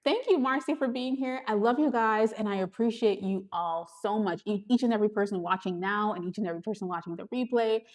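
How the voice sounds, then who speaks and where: A woman's voice: mid-range voice, brisk, few pauses, darkish tone. A woman with a Trinidadian accent chatting live with viewers as a YouTube coach, bubbly and laughing often, recorded on a small handheld camera. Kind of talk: casual livestream chat with viewers. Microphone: handheld gimbal camera.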